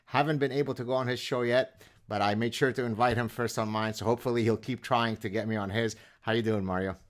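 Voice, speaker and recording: A man's voice: medium pitch, steady tone, quick pace. A middle-aged man, a professor hosting an interview, polite and inquisitive with the odd "uh". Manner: polite and inquisitive, occasional filler "uh". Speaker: podcast host and professor. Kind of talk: conversational interview.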